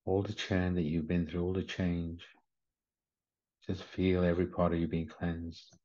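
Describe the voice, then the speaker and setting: A man leading a guided meditation in a gentle, soothing manner, with short unhurried instructions. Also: low-pitched, level tone. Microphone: video call. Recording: slightly muffled video call audio.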